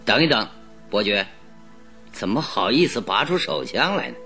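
An actor playing a shrewd, calm detective who talks in a measured, persuasive way with sly confidence in a radio drama. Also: male voice, fairly low pitch, faint music behind, exaggerated voice.

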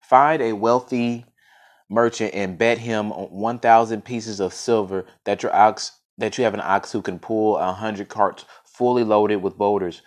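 A man voicing a gentle, wise talking ox in a folk tale, calm, earnest and reassuring. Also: on the low side, unhurried, lightly acted. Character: gentle, wise, loyal talking ox, great and strong.

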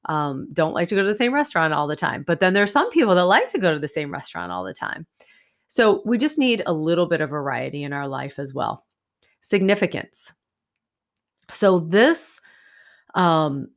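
Stuffy-nosed woman hosting a podcast. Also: fairly low pitch. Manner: chatty, rambling, many fillers. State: stuffy, nasal from a sinus infection. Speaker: podcast host. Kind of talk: casual solo podcast. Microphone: new USB microphone.

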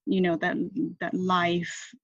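Woman in her thirties from Taiwan, reflective guest, lowish voice, dry muffled recording. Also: monotone, slow pace, dry recording. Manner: thoughtful, many fillers and restarts. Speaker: interview guest. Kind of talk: reflective conversational interview. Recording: dull muffled sound, dry.

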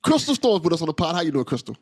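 Hyped-up podcast host guy, kinda husky, voice jumps all over, dry close sound. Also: on the high side, big pitch swings, rapid-fire, dry recording. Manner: enthusiastic, laughs often, repeats words. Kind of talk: casual podcast chat.

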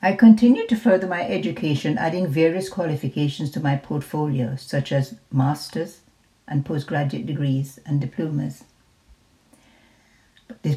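Older lady reading her life story, low voice, measured and careful. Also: dark tone, poor audio. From Jamaica. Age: 70s. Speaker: retired nurse. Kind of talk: reading a personal memoir.

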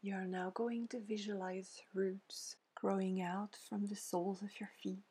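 A woman leading a guided meditation in a soft, breathy, near-whispering voice, gently soothing, with pauses between short phrases. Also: medium pitch, level tone. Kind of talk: guided meditation, scripted narration.

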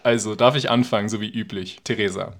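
A man's voice: on the low side, steady tone, fast talker. A man co-hosting a podcast, chatting and telling stories casually in a playfully teasing way. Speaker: podcast co-host. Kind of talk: casual, bantering podcast storytelling.

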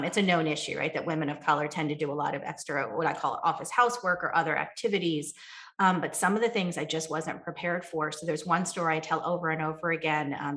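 Bright-voiced woman author on a call. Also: deep voice, vocal fry. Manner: earnest, reflective, many fillers and restarts. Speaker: author and guest speaker. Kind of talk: virtual talk Q&A.

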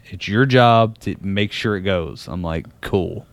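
A man's voice: deep voice, measured pace, gravelly, no room sound. A man in his twenties co-hosting a podcast, telling a long story in a rambling way, laughing often. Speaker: podcast co-host. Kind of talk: casual chat.

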